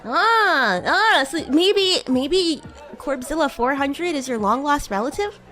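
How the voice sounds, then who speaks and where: Animated, high-pitched woman as bratty demon classmate. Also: slow pace. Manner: teasing, giggly, addresses listener as human. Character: bratty, playful young female demon schoolgirl classmate. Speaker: VTuber streamer in character, chatting with viewers. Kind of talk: improvised in-character roleplay livestream chat.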